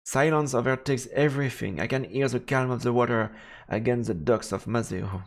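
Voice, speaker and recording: Gravelly male streamer. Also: medium pitch, normal speed. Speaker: game streamer. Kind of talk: live let's play, commentary and reading aloud.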